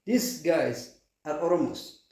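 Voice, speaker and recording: A man's voice: on the high side, lively intonation, slow, a little mellow. Lecturing man in an echoey room. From Somalia. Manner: lecturing, scolding. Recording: distant echoey mic.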